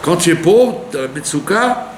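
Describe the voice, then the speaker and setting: Animated rabbi teaching in an echoey room. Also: male voice, fairly high pitch, normal speed. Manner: animated teaching, with rhetorical questions and frequent "d'accord?". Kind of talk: Torah lesson sermon. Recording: echoey room with faint hum.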